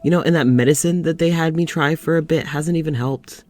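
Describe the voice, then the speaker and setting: An actor playing a lonely, weary doctor in an audio drama, confiding in a halting, sighing way. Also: male voice, a little high, fairly even intonation, a little mellow, soft background music, natural voice. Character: lonely, weary young doctor venting to his demon dog.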